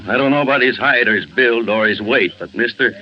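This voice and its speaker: A man's voice: mid-range voice, brisk, close to an everyday voice. A man playing a scared, talkative young newsboy witness in a 1940s radio drama, stammering and rambling in slangy speech. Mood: shaken, eager. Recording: dull vintage radio recording.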